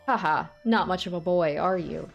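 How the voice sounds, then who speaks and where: A cruel young woman captor with a low, soft voice that sounds sweet on the surface and mocking underneath, as a woman reader puts her on. She taunts slowly and sadistically, toying with her captive. Storybook reading with faint music behind. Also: creaky.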